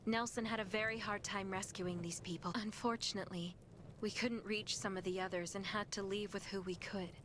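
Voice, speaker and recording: A woman's voice: mid-range voice, fairly even intonation, fairly quick, noisy background, close to an everyday voice. An actress voicing a busy, caring female medic at a survivor outpost, earnest and practical, in a video game. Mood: weary concern. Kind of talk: video game quest dialogue.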